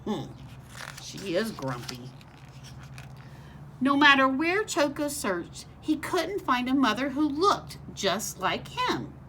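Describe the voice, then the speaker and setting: Lady teacher reading a kids book out loud, highish voice, very up and down, talks simply for little ones. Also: takes it slowly, a little hiss or hum, low-quality recording. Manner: expressive, simple child-directed delivery. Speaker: kindergarten teacher reading aloud. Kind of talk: picture-book read-aloud for young children.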